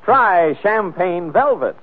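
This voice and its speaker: A man delivering an emphatic 1940s radio advertising pitch, leaning hard on key words. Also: expressive. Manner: emphatic, drawn-out selling. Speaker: commercial pitchman. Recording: thin, lo-fi 1940s radio recording.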